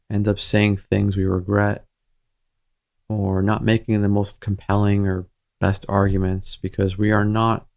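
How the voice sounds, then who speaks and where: A man leading a guided meditation in a gentle, soothing, measured manner with pauses between phrases. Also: fairly low pitch, creaky.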